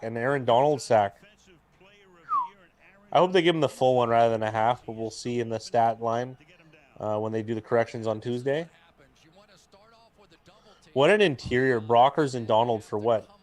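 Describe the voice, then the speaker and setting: A young man with a booming voice, co-hosting a live football watch stream in rambling casual chat, mic clipped to his jacket. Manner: rambling, talkative, full of restarts and fillers. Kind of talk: casual chat and game commentary. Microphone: jacket used as mic mount.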